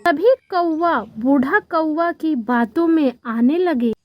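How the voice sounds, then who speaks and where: A woman narrates a children's story from a script. Her voice is high and her pace slow, recorded dry with a slightly dull sound.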